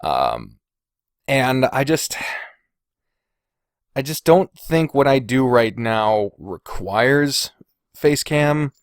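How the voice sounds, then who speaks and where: A man's voice: mid-range voice, sing-song, extremely slow, pauses often, dry recording, clean studio sound. A man chatting casually as a solo podcast host, self-deprecating and sweary, wandering off on digressions, recorded indoors on a USB microphone. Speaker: podcast host and video creator. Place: indoors in a bedroom. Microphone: Blue Snowball omnidirectional USB microphone.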